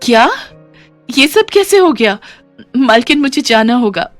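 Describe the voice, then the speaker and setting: A woman voicing a poor, anxious, humble housemaid and mother in an animated story, speaking respectfully. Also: on the high side, a little slow, dry recording, soft background music, pitched above the performer's own voice. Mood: shocked.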